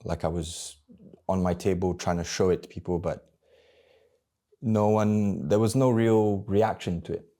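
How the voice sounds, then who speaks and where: Man, 30s, from Malaysia; deep, dark, gravelly voice; candid, introspective vlogger who talks haltingly. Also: steady tone. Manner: halting, searching for words, many restarts. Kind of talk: personal reflective monologue.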